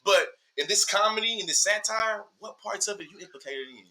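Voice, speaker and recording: A man's voice: high voice, a little fast, crowd noise, rough recording. A man in his early thirties from Texas with a Southern accent, talking casually and animatedly in slangy, rhetorical bursts as a podcast guest. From Texas, USA. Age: early 30s. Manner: animated, rhetorical, slangy, often checks listener follows. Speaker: podcast guest, social media educator. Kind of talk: casual, opinionated conversation.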